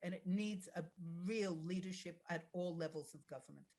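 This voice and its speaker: A woman's voice: fairly low pitch, moderate pace, darkish tone. A woman speaking as an election candidate, answering forum questions in an emphatic, blunt way. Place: online video call.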